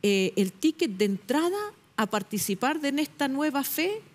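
A middle-aged woman journalist arguing her point on a television panel debate, emphatic and pausing often with fillers, recorded on a lapel mic in a studio. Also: high-pitched, lively intonation. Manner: emphatic, searching for words with many fillers.

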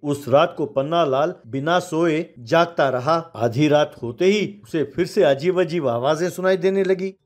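A man's voice: a little high, a little slow, few pauses, faint music behind. A man narrating a children's moral story in scripted storytelling style.

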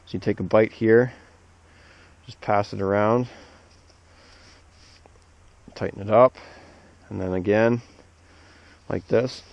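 A man, an arborist instructor, calmly walking through tying a knot step by step outdoors in the snow on a head-mounted camera. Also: lowish voice, takes it slowly, vocal fry, pauses often. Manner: steady, plain step-by-step explaining. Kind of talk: hands-on knot demonstration. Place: outdoors among snowy trees. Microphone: head-mounted action camera. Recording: dull lo-fi camera audio.

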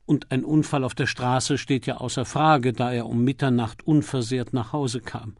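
A man's voice: medium pitch, steady tone, darkish tone, dry recording, studio quality, lightly acted. A man voicing a discreet, dignified society physician in an audiobook, formal and guarded. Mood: confidential, guarded. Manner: formal, measured, confidential. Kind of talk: audiobook character voice.

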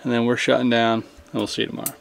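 A young man with a mid-pitched voice talks casually to camera as a travel vlogger. He sounds laid-back yet enthusiastic, using plenty of slang, with some ambient noise around him. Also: monotone, very fast, vocal fry. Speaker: travel vlog host.

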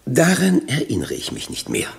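Self-righteous older witness, hugely animated. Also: male voice, medium pitch, normal speed, creaky, exaggerated voice. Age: 60s. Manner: emphatic, servile, self-justifying. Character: self-righteous, evasive 61-year-old male sales agent testifying. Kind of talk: German radio drama acting.

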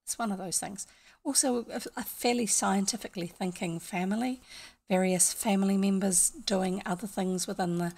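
A woman's voice: mid-range voice, gravelly, slightly rough. An older woman from New Zealand with a New Zealand accent, chatting casually on a home stream, rambling and explaining with many asides. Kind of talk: casual storytelling chat. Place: small home room, indoors.

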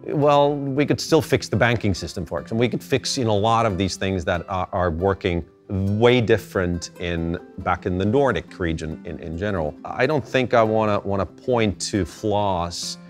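A middle-aged man from Finland, answering interview questions thoughtfully, with halting restarts. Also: on the low side, soft background music. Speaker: interview guest. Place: indoor gallery space.

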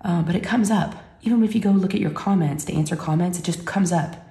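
Hoarse, scratchy woman, sick. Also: fast, dark tone. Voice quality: scratchy, deep. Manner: chatty, rambling, folksy. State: hoarse and sick, catching her breath. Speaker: YouTube creator. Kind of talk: casual chat to viewers. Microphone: camera mic. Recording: echoey room.

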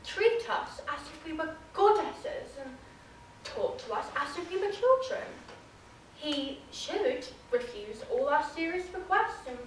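A woman's voice: sits high, fairly bright tone, slight background noise, heavily acted. A woman performing a theatrical monologue as a witty, arch Victorian society lady, drawing out and savoring each phrase. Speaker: drama student performing a monologue. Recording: very echoey room, mic far off.

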